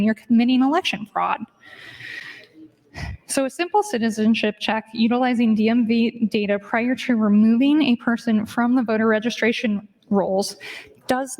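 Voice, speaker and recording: Woman arguing on a legislative floor. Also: fairly high pitch. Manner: argumentative, mixes statute readings with casual asides. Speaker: state legislator in floor debate. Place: legislative chamber.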